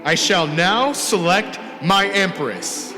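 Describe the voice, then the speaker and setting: Man acting a booming, high-pitched, imperious young giant. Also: animated, long reverb tail. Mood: grand proclamation. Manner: booming, imperious, drawn-out proclamations. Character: huge young rebel proclaiming himself emperor, grandiose and commanding. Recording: music under the voice.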